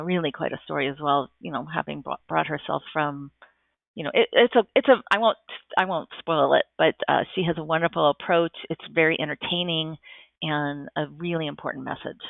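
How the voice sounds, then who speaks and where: Woman with a gravelly voice on a phone line. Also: low voice, normal speed, no echo. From United States. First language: Japanese. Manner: enthusiastic, thoughtful, frequent restarts. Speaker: podcast guest. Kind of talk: casual interview answers.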